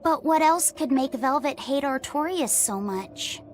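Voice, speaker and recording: A woman voicing a gentle, curious young boy in a video game, earnest and polite. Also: sits high, expressive, a little slow, a little hiss or hum. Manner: earnest, questioning. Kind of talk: English video game voice acting. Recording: game music under the voice.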